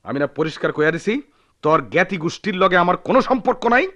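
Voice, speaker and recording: ওঠানামা ভরা গলার বদমেজাজি গ্রাম্য স্বামী. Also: male voice, high voice, animated, racing, pushed and bright. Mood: angry, defiant. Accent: rural Bangladeshi. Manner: theatrical, defiant, argumentative. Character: proud, hot-tempered poor village husband who loves folk song. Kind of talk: Bengali radio drama acting.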